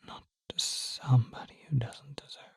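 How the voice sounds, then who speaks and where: A woman voicing a gentle, shy young male naga in an ASMR roleplay, speaking in a soft, hesitant, breathy whisper. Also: normal speed, close to an everyday voice. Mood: reluctant, apologetic. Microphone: close microphone, dry.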